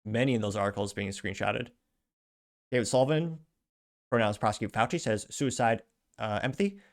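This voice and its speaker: A man's voice: on the low side, brisk. A man hosting his own show, reading social media posts aloud with chatty asides in casual news commentary. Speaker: show host.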